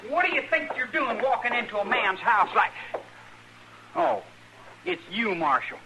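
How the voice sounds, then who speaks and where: High-pitched young country man. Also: very animated, a little slow, vocal fry, heavily acted. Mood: defiant. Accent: rural American. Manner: folksy, earnest, defensive. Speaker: actor in radio western. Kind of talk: old-time radio western acting. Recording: noisy old-time radio recording.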